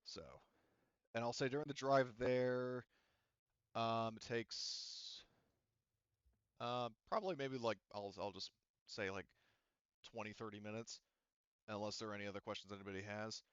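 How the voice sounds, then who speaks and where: Guy game mastering a tabletop rpg, smooth lowish voice, kinda rambly, dry slightly muffled mic. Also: level tone, a bit bright, no room sound. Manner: halting, rambling, with repeated restarts and hedging asides. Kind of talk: narrating a tabletop roleplaying game, off the cuff.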